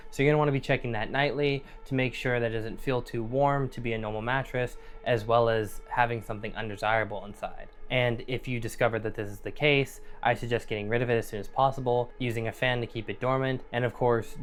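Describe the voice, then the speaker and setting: A man narrating a scripted survival guide in a conversational, explanatory way. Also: mid-range voice. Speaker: narrator and host. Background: background music.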